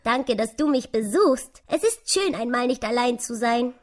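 Woman voicing a kindly, emphatic storybook squirrel, raised pitch. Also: expressive, a little slow. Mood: warm, grateful. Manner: emphatic, caring, gently chiding. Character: kindly, brisk, protective squirrel scolding gently. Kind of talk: children's storybook reading.

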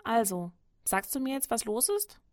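Woman voicing a high, chirpy, persistent little hare. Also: moderate pace, no room sound, studio quality. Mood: coaxing, friendly. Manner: lively, coaxing, inquisitive. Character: cheerful, persistent little hare, eager to help.

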